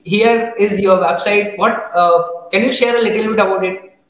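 A man's voice: high voice, steady tone, normal speed, fairly bright tone. A man hosting an interview in an effusive, appreciative way. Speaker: show host. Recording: roomy, echoing sound.

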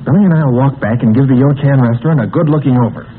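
An actor playing a brave teenage adventurer who takes charge, in a 1940s radio serial on a tinny, hissy old recording. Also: male voice, medium pitch, lightly acted. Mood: hushed. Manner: brisk and take-charge.

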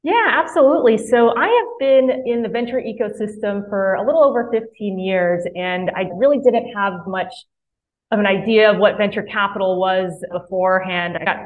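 A woman's voice: mid-range voice, moderate pace. A woman in her thirties from Mississippi, a podcast guest talking earnestly about her career with frequent restarts. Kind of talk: podcast interview. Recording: tinny phone-like line.

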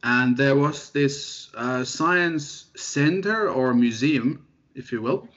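A young man from Finland, a researcher, chatting in an interview in a relaxed, self-deprecating way with lots of fillers. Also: medium pitch, slow. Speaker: guest researcher. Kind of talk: casual interview chat. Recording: dull lo-fi sound.

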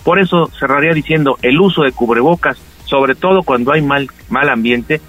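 A man's voice: medium pitch, normal speed, low-quality recording. Male doctor, radio guest, patient explainer, faint music under. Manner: calm explanatory, with fillers. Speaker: doctor giving health advice. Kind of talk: radio health segment guest.

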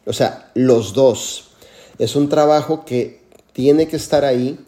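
A man's voice: mid-range voice, slow pace, warm tone. A man mentoring on a group call, earnest and full of "este" fillers. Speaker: mentor answering questions.

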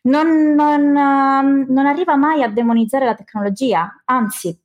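A woman hosting a casual film discussion, explaining at length in an enthusiastic, halting way. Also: sits high. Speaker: podcast host.

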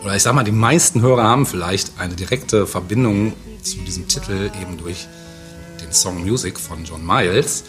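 Male podcast host, 40s, lowish voice, halting delivery, faint music behind. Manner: rambling, hesitant with many fillers. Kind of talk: casual podcast chat.

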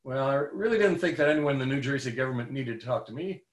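Older man, seventies, personal testimony, measured pace, roomy sound. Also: mid-range voice, lively intonation. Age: 70s. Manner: earnest, measured storytelling. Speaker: storyteller. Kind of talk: personal faith testimony storytelling. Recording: roomy, echoey room.

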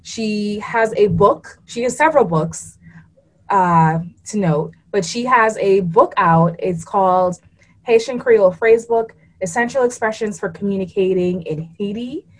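A woman in a mid-range voice hosts a relaxed podcast chat. She is playful and self-deprecating, and she often trips over her words as she introduces her guest. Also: medium pitch, measured pace, low-quality recording. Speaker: podcast host. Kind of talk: casual podcast interview.

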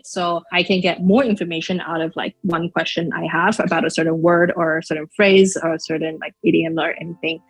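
Chinese-accented woman, low voice, thoughtful. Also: husky, poor audio. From Shanghai, China. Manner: thoughtful, many fillers like "um" and "like". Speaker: podcast guest. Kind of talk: casual interview answers.

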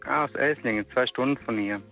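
A man's voice: medium pitch, quick pace, vocal fry, fairly bright tone, soft background music, poor audio. A man in his thirties, originally from Italy, chatting casually as a radio caller and asking friendly questions. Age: 30s. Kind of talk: casual phone chat.

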